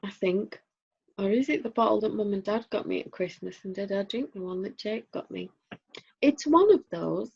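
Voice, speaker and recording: A woman's voice: mid-range voice, moderate pace, vocal fry, a little mellow, breathy edge. A woman with a Northern English accent co-hosting a casual chat over a video call, rambling and dry-humored. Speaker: co-host. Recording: dull lo-fi audio.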